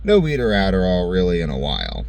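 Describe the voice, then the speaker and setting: A man's voice: deep voice, huge pitch swings, fast talker, a little mellow. A man giving a casual, rambling vlog monologue in a blunt, profane, joking manner, often acting out imagined dialogue. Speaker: vlogger. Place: vehicle. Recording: noticeable vehicle noise.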